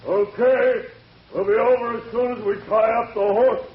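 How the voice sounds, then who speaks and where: Echoing, high-voiced western sheriff. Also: male voice, fairly even intonation, normal speed. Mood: hearty, calling. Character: folksy western sheriff calling from afar. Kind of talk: 1940s-50s radio drama acting. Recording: echoey, muffled vintage radio with hiss.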